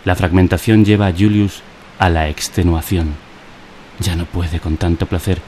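An actor with a Spanish accent from Spain playing a deadpan narrator in a surreal satirical radio drama, speaking in clipped, declamatory phrases. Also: male voice, deep voice, normal speed, a little hiss or hum, natural voice. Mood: ironic, intense. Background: music behind the voice.

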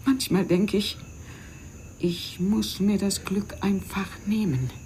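An actress playing an embittered, self-pitying woman in a radio crime drama, intense and often dropping into breathy whispers. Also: medium pitch, steady tone, slow pace, darkish tone, slight background noise, lightly acted. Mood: brooding, obsessive. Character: embittered, self-pitying woman, a desperate mother who feels cheated.

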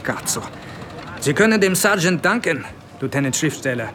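Gruff, cursing army captain. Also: male voice, on the high side, sing-song, moderate pace, fairly bright tone, slight background noise. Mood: gruff, sarcastic. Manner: blunt, sarcastic, swearing. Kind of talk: German radio play dialogue.

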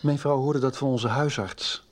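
A man's voice: medium pitch, steady tone, unhurried, close to an everyday voice. Actor as pained, restrained father. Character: pained, restrained father revealing a family secret. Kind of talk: 1990s Dutch radio soap acting.

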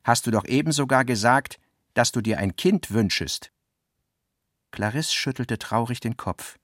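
A measured, articulate male narrator for a literary radio play. His mid-pitched voice shifts into quoted dialogue, in a very dry studio recording. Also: a bit bright, no echo. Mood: observant. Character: literary male narrator recounting and quoting his guest's talk.

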